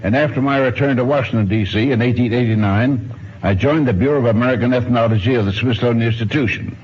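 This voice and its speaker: A muffled, slightly noisy 1950s radio recording of a man in his nineties. He speaks at a middling pitch, answering an interviewer formally and precisely, with old-fashioned turns of phrase. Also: fast talker, darkish tone. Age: 90s. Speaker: interview guest, archaeologist and ethnologist.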